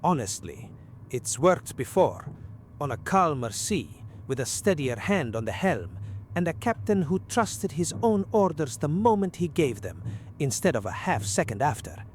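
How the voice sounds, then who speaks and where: An actor playing a candid, weary hydrophone operator on a wartime submarine in a radio drama. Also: male voice, fairly high pitch, big pitch swings, unhurried, slight background noise. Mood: grimly candid.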